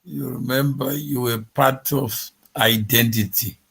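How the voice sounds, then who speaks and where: A man hosting an interview, asking probing questions in a slow, deliberate way. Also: medium pitch, steady tone, vocal fry, a bit bright, breathy edge. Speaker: interview host.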